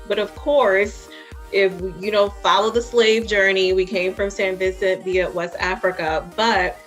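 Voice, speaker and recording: A woman's voice: mid-range voice, steady tone, measured pace, faint music behind. A woman from Honduras whose first language is Spanish, co-hosting a casual chat podcast and often affirming and laughing. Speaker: podcast co-host.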